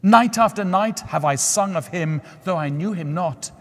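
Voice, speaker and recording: A man's voice: high voice, normal speed, fairly bright tone, voice pushed up. A man voicing a devoted female nightingale, speaking in a lifted, earnest storybook voice. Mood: rapt. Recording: long church hall reverb.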